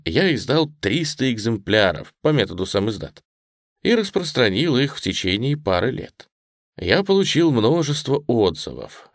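A man narrating a first-person memoir audiobook in a reflective, expressive way. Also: mid-range voice, dry recording, clean studio sound.

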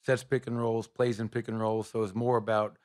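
Middle-aged man, basketball coach, low voice, flat delivery, postgame press room, table mic. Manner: measured, even answers with frequent fillers. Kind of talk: postgame press conference answers. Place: press room in front of a sponsor backdrop. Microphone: table microphone.

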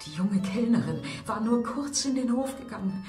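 A woman's voice: fairly high pitch, lively intonation, normal speed, a little mellow, soft background music, natural voice. A woman playing a gossipy country-house housekeeper in a German mystery radio play, telling things in a confiding, dramatic way. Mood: ominous, gossipy.

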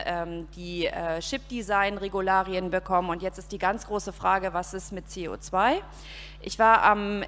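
A woman's voice: mid-range voice, fairly bright tone. A woman in her forties giving a composed, structured talk on a conference panel with a handheld mic on an outdoor event stage. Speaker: panel speaker, consultancy partner. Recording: reverberant PA sound on a stage.

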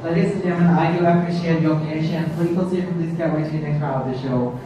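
A man makes quick, courteous announcements to a crowd of visitors. His fairly high voice comes from across a big, echoing hall. It sounds far from the mic. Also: steady tone, dull audio, noisy background. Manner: polite, repetitive announcements. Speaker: pavilion guide. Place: indoor exhibition hall, heard from a distance.

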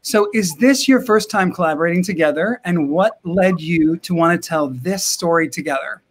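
A man's voice: on the high side, normal speed. A man in his forties hosting a live video-call interview from home, enthusiastic and full of fillers. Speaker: host. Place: home room with brick wall. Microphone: video call webcam.

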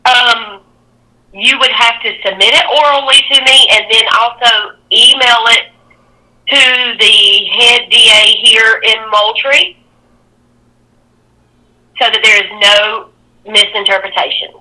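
A woman with a very bright, sharp, fairly high voice. She talks on the phone like an office receptionist, firm and procedural. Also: fairly even intonation, slow pace, pauses often. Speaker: district attorney's office receptionist. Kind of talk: phone conversation.

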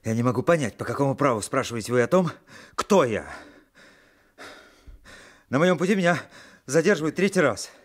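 An actor playing a weary, indignant educated traveller in a Soviet radio play, speaking formally and articulately. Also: male voice, mid-range voice, animated, fairly quick, long pauses, close to an everyday voice.

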